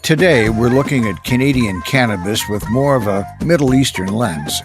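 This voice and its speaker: A man narrates as a podcast host, working from a script. His voice is mid-pitched for a man, and music runs clearly behind it. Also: lively intonation, moderate pace.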